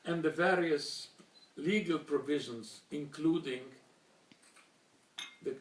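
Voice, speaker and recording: An older man, a native German speaker from Slovakia, giving a measured formal talk with pauses and restarts. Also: fairly high pitch, reverberant, distant mic, poor audio. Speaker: speaker recounting childhood memories.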